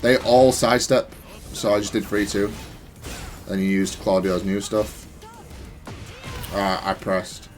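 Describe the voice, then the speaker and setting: A man's voice: lowish voice, flat delivery, measured pace, pauses often, soft background music. A man giving casual, analytical gameplay commentary in a matter-of-fact, self-critical way. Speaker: gaming content creator.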